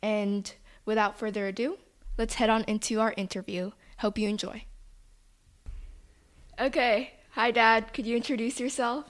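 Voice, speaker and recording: A woman's voice: on the high side, steady tone, moderate pace. A young woman hosting a casual podcast, upbeat and friendly as she interviews her father on a shared microphone. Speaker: podcast host.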